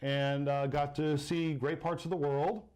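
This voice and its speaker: A middle-aged American man chatting casually as an interview guest, telling personal stories in an animated way. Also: fairly high pitch, measured pace. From Southern California, United States. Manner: animated storyteller, acts out quoted dialogue. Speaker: interview guest, sports announcer. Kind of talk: casual chat, personal storytelling.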